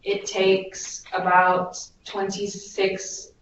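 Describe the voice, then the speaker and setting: A woman's voice: mid-range voice, completely flat delivery, extremely slow. A young American woman, a volunteer, explaining everyday life abroad casually on a group call. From United States. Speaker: Peace Corps volunteer. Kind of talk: casual informational group talk. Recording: distant, echoey, dull, hissy conference call.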